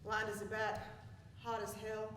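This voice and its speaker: A woman's voice: medium pitch, monotone, unhurried, natural voice. A woman in a stage play as a rattled, guilty character who speaks in clipped, incantatory recitation. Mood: chanting. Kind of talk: live stage play acting, chanted recitation. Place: indoor theatre stage, recorded at a distance. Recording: very echoey theatre, far off, faint noise.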